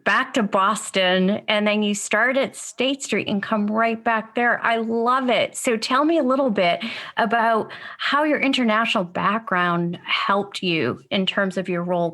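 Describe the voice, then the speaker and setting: A woman's voice: mid-range voice, unhurried, a bit bright. A woman hosting a podcast, reading a scripted intro and then chatting with her guest in an upbeat, enthusiastic way. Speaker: podcast host.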